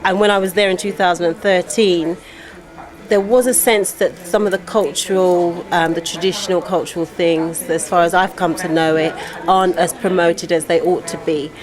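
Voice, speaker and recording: Low-voiced woman from Guyana, hesitant. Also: steady tone, normal speed, crowd noise. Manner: thoughtful, hesitant, many fillers and restarts. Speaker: author and poet, interview guest. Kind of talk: casual interview talk.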